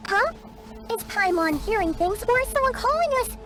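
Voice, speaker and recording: A woman voicing a tiny, childlike fairy sidekick in a video game, excitable, naive and quick to exclaim. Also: over music. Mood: puzzled. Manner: squeaky, exclamatory, speaks of herself in third person.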